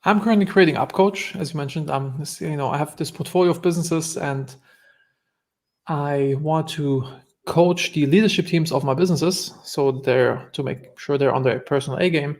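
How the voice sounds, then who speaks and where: A man's voice: fairly high pitch, normal speed, darkish tone. A man with a German accent, a guest entrepreneur telling stories in an earnest, reflective way during a casual interview.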